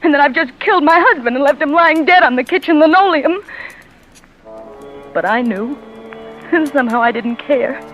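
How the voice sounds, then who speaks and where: A high-pitched, frightened young wife telling her story in breathless, stammering bursts. It sounds like a 1940s radio thriller, with music playing beneath her voice. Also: expressive, normal speed, long pauses, a bit bright, low-quality recording. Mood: tense. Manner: breathless, stammering first-person narration. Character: frightened young wife turning murderous, tense. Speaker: narrator and lead character. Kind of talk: 1940s radio drama acting.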